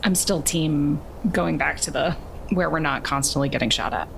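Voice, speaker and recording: A woman's voice: deep voice, normal speed, vocal fry, slight background noise, poor audio. A woman playing a tabletop roleplaying game, chatting casually at the table.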